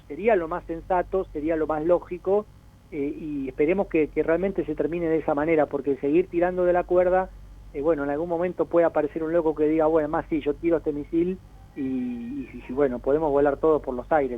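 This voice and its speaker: A man with an Argentine accent giving spontaneous, discursive analysis as an interview guest, often voicing imagined quotes to make his points. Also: fairly high pitch. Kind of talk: spontaneous explanatory commentary. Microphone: telephone call. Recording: narrow tinny phone line.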